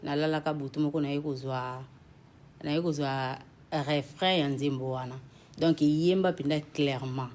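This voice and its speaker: A woman with a Congolese French accent, a gospel singer answering questions in a TV talk show interview in a relaxed way, mixing French with Lingala. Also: deep voice, a little slow.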